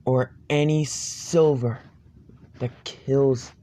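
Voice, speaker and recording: A man improvising an amateur campfire horror story in a halting, ominous manner. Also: medium pitch, steady tone, warm tone. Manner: halting, ominous, stumbling over phrases.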